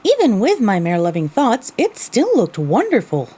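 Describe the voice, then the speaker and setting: Woman as husky, mellow young mare narrator. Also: fairly high pitch, huge pitch swings, moderate pace, full character voice. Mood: wry reflective. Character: young pony mare narrating her own story, wistful. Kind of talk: first-person scripted narration, audio drama.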